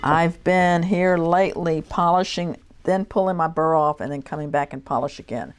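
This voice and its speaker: A woman teaching shear sharpening, talking in a relaxed way and thinking aloud as she works in her workshop. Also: on the low side, normal speed, clear, resonant tone. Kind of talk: hands-on demonstration talk.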